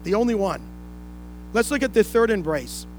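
A man's voice: high voice, expressive, normal speed, darkish tone, soft background music, poor audio. A man preaching a sermon to a congregation, explaining point by point with rhetorical questions and repeating key phrases for emphasis. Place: indoor room, speaking to a congregation.

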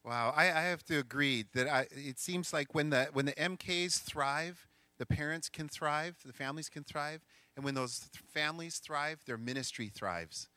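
A man's voice: fairly high pitch, normal speed, sharp tone, no echo, soft background music. A middle-aged man, a missionary school counselor, giving an earnest, joking church missions talk. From grew up in Sri Lanka, India and Egypt. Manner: joking, earnest speaker reading scripture aloud.

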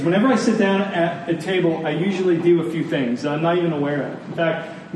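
A man preaching a sermon to a congregation in an earnest, deliberate manner, repeating phrases for emphasis. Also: fairly high pitch, darkish tone. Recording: very echoey room, distant mic, faint noise.

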